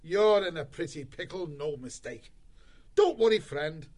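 A man voicing a gruff, bossy male shrew chieftain who speaks in a commanding way. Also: fairly high pitch, lively intonation, measured pace, a little mellow, pitched above the performer's own voice. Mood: hearty. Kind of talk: audiobook character voice.

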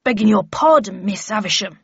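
Woman putting on a husky male sergeant on watch. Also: a little high, sing-song, normal speed, no room sound, dull audio, pitched above the performer's own voice, heavily acted. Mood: apologetic. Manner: blustering, then apologetic. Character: gruff male night guard sergeant, challenging then deferential. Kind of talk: audiobook character dialogue.

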